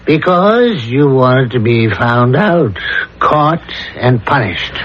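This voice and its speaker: An actor playing a calm, insistent psychiatrist with a measured, deliberate delivery in a 1970s radio drama. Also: male voice, mid-range voice, a little hiss or hum. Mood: calm, knowing. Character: calm, probing male psychiatrist pressing his patient with theories. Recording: dull lo-fi 1970s radio recording.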